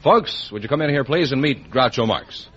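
A man's voice: mid-range voice, lively intonation, moderate pace. A man announcing contestants on a vintage radio show in a formal presenting style. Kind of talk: 1940s-50s radio announcing. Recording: dull vintage radio, faint noise.

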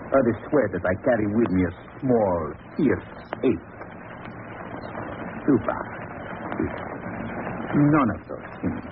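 An actor playing a sinister, mock-courteous villain in a theatrical 1940s radio drama style. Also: male voice, fairly low pitch, expressive, takes it slowly, long pauses. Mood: gloating. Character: sinister, gloating male villain with a deadly caged servant. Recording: noisy, tinny old radio recording.

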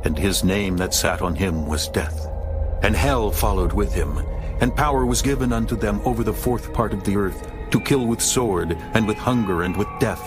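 Low-voiced solemn male scripture narrator. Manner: solemn, measured storytelling. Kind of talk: dramatized scripture audiobook narration. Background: music.

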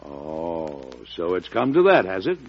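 An actor playing a shrewd, steely President in a vintage radio drama, speaking deliberately with wry theatrical flair. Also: male voice, low-pitched, huge pitch swings, heavily acted. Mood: cool, sly. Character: shrewd, steely elder statesman, a US President and father. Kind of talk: 1940s-50s radio drama acting. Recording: dull vintage radio recording.

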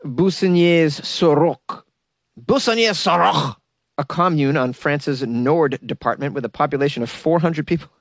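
A man's voice: on the high side, unhurried, no echo, studio quality. A man hosting a podcast, giving comedic commentary on a news story in a sarcastic, joking way with casual swearing. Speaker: podcast host.

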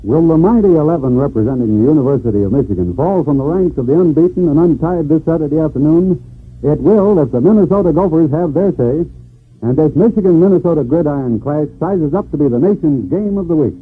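A man reading a scripted promo announcement in a formal, booming announcer style, on a tinny old radio broadcast. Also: animated, a little fast.

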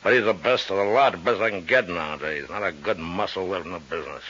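An actor playing a shifty, world-weary gambling boss and former bootlegger in a 1950s radio drama, gruff, blunt and slangy, with an American accent. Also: male voice, sits low, fast talker, fairly bright tone. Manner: blunt, slangy tough-guy talk. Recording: dull old radio recording.

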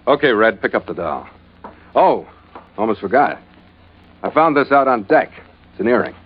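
An actor playing a tough, laconic ship's skipper in an old-time radio adventure drama, terse and hard-boiled. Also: male voice, on the low side, very animated, normal speed, a little hiss or hum. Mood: tough, skeptical. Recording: dull, band-limited old radio recording with music.

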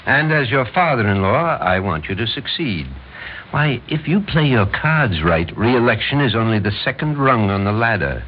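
Radio drama role, male actor: domineering middle-aged American power broker, a man of smooth, pressing speeches; medium pitch, muffled vintage sound. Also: sing-song, normal speed, lightly acted. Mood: smooth, coaxing. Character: domineering, scheming older political power broker, the Major. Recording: muffled old radio recording, faint hiss.

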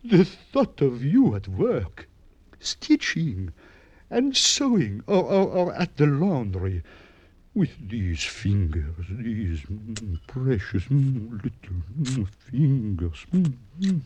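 An actor playing a smooth-talking young suitor, flowery and gushing, in 1940s radio-acting style. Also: male voice, mid-range voice, big pitch swings, slow, a little mellow, muffled recording, exaggerated voice. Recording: old radio recording with faint hiss.

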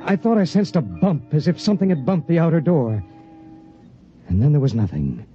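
A grim, burdened male space pilot with widely swinging intonation, in 1950s radio drama style. The recording is dull and old, with music clearly behind him. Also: on the high side, very animated, moderate pace, warm tone. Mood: grim, haunted.

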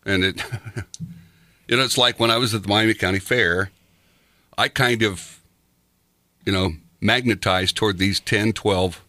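Older male radio host, sixties, low but bright voice, loose chuckling delivery, dry studio sound. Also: fairly even intonation, a little slow, pauses often, no room sound. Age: 60s. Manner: chuckling, rambling, heavy on fillers. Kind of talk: casual chat.

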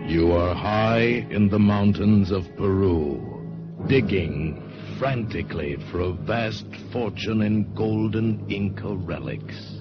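Deep, slow radio narrator man. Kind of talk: 1940s dramatic scripted teaser narration. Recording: dull 1940s radio, loud music.

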